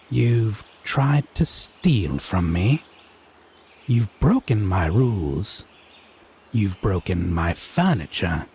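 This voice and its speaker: Guy doing a haughty teasing fae man, really slow n drawn out, voice swoops around, wry and theatrical. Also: mid-range voice, a little mellow, breathy edge, full character voice. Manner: theatrical, wry, drawn-out deliberate phrasing with formal wording. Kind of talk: scripted audio roleplay addressed to the listener.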